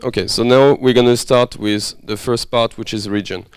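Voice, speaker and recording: Man with a French accent, smooth voice. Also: medium pitch, steady tone, moderate pace, no echo, clean studio sound. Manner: friendly, conversational, checks understanding often. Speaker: workshop lecturer. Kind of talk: interactive workshop teaching. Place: workshop room.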